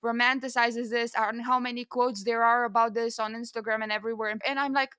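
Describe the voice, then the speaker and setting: Woman, podcast co-host, slightly high pitch, bright tone, dry sound, informal, opinionated, casual book chat. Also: little pitch movement, a little fast, clear, resonant tone, dry recording. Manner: informal and opinionated, peppers speech with "like".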